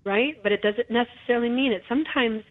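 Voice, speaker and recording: A woman's voice: fairly high pitch, fast, no room sound. A woman hosting a talk show, speaking earnestly and reflectively and often restarting her sentences. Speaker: show host. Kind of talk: conversational interview talk. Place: her home. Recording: tinny phone-like line.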